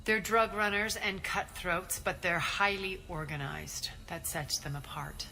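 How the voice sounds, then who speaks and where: Game villainess crime boss: low, imperious, sardonic, clear ambient noise behind. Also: female voice, fairly even intonation, unhurried, bright, forceful tone, full character voice. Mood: dry, calculating. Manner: imperious, clipped, cool and sardonic. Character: ruthless, commanding female crime boss plotting revenge. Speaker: gang leader and commander. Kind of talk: video game cutscene acting.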